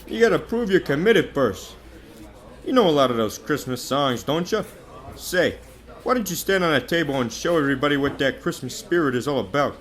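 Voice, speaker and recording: A man's voice: fairly high pitch, expressive, fairly quick, fairly bright tone. Man playing a brash, swooping wisecracking hustler. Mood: cocky, persuasive. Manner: wisecracking, slangy showman. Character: brash, self-serving male hustler with big talk. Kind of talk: radio drama acting.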